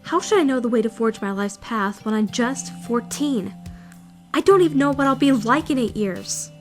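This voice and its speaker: A woman's voice: on the high side, animated, normal speed, close to an everyday voice. A woman playing an anxious, brooding fourteen-year-old schoolgirl who narrates her self-doubting thoughts in a visual novel fandub. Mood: agitated, questioning. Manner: introspective, questioning, self-critical. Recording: music behind the voice.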